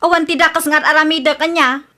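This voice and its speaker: An actress with an Ilocano Filipino accent playing a proud, quarrelsome young daughter in a comedy radio drama. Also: female voice, sits high, fairly even intonation, quick pace, bright, forceful tone. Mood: irritated.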